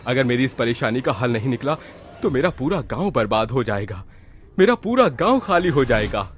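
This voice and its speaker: A man's voice: medium pitch, very animated, normal speed, slight background noise, natural voice. A man voicing a desperate, respectful village headman in a horror story, earnest and urgent. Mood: pleading. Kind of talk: Hindi horror storybook voice acting.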